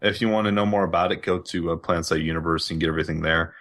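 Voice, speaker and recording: Low-voiced man hosting casually. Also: steady tone, racing, a bit bright. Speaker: podcast host. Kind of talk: casual live gaming podcast chat.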